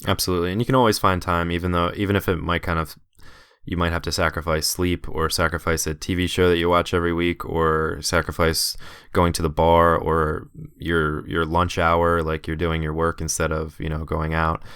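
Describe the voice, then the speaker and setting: A man's voice: sits low, flat delivery, moderate pace, a bit bright, clean tone, no room sound, clean studio sound. A man in his thirties hosting a podcast, talking in an earnest, encouraging way. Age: 30s. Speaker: podcast host. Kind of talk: casual podcast interview.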